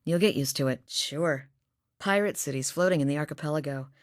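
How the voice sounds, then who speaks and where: An actress voicing a steady, blunt woman hunter from a far northern village, with a matter-of-fact manner and dry humor, in a studio game recording. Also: sits low, fairly quick, dry recording, lightly acted. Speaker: game character voice lines. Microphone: close studio mic.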